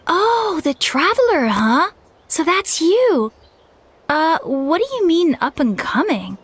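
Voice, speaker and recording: A woman voicing an earnest young warrior in training in a game, lively and quick to surprise. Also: very high-pitched, a little hiss or hum, heavily acted.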